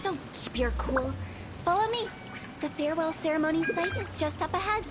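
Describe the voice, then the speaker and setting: A woman's voice: extremely high pitch, lively intonation, moderate pace, very noisy. A woman voicing a playful, eerie young female funeral parlor director in a video game, teasing, theatrical and self-assured. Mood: calm, guiding. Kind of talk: video game character acting.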